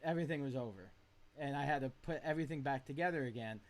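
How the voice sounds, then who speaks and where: A man's voice: medium pitch, fast talker. A man on a video call chatting about his guitar years, reflective and rambling with frequent restarts. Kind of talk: casual chat.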